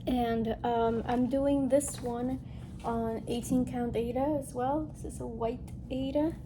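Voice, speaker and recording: Female craft vlogger, highish nasal voice, congested from illness, informal rambling chat, clear background noise. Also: steady tone, takes it slowly. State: getting over a respiratory illness. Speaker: host of a cross-stitch video channel. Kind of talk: casual chat to viewers, craft show-and-tell.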